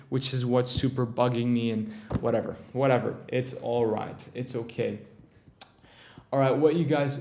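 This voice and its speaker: A man's voice: mid-range voice, a little mellow. A young man from Turkey, tired after a long day, hosting a casual livestream in a rambling, chatty way that often interrupts itself. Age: young adult. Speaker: crypto livestream host.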